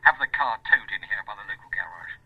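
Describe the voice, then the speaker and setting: Radio drama boss: tinny phone line, curt and unimpressed, varied inflection. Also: male voice, on the low side, lively intonation, quick pace, husky. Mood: brisk, unimpressed. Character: curt, unimpressed male police superior. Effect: telephone filter. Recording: thin phone line, faint noise.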